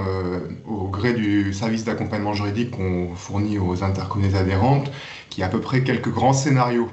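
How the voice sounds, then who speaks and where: A man's voice: lowish voice, a little mellow. A man explaining methodically and formally as an expert guest in a panel discussion, recorded with roomy sound.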